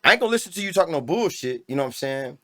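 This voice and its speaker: A man's voice: fairly high pitch, sing-song, racing, pushed and bright. A man chatting casually and emphatically in a slangy debate as a rapper on a podcast, often repeating himself to drive a point home.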